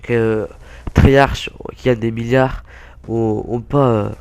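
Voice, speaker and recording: Young guy w a cold, stuffy nose, gravelly hoarse voice, chatting about his game, lots of ums. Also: mid-range voice, fairly even intonation, darkish tone. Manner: halting, many fillers and restarts. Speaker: gaming YouTuber. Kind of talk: casual gameplay commentary.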